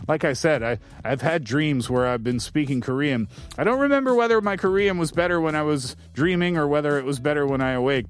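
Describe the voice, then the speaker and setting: A man hosting a radio show, talking casually and easily, with lively rises and falls in his voice. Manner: relaxed, chatty, lively shifts in pitch. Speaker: radio host. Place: radio studio. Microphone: studio microphone.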